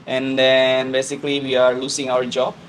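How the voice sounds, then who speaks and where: A man in his thirties from Borneo, answering interview questions as a café owner in plain, halting English with frequent pauses. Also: mid-range voice, completely flat delivery, slight background noise, poor audio. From Borneo, Indonesia. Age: 30s. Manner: plain, halting non-native English with many "uh"s. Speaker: coffee shop and bakery owner.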